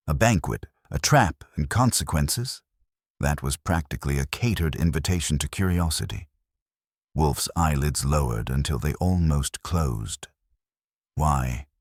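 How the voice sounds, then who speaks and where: A man narrating a first-person detective story in a wry, dramatic way, with a gravelly, husky voice. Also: rumbling low, animated, normal speed, no echo, clean studio sound.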